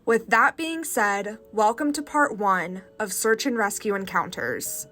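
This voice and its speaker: A woman hosting a channel and reading first-person stories aloud with a congested, stuffy nose in a calm, measured way. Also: medium pitch. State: stuffy nose from sinus infection. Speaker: host.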